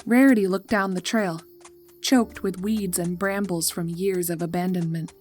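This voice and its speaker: A woman narrating a scripted horror story in a measured, atmospheric storytelling manner. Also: lowish voice, fairly even intonation, darkish tone, faint music behind.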